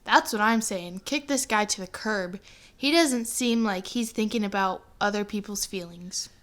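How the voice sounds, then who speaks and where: A woman's voice: mid-range voice, moderate pace, a bit bright, slight background noise. A woman listening to a story and offering blunt, indignant remarks.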